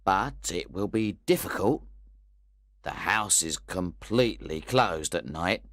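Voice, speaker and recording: Man playing a rough menacing thug, very deep, slow and heavy, swinging voice. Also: big pitch swings, fairly bright tone, no room sound, clean studio sound, heavily acted. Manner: slow, threatening. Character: rough menacing male housebreaker.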